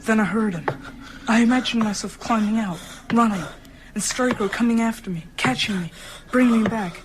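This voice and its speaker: A woman's voice: fairly high pitch, normal speed, noisy background, lightly acted. A woman playing a frightened, defiant young boy who narrates and acts in a tense radio drama. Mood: tense, fearful. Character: frightened but defiant young boy telling his ordeal.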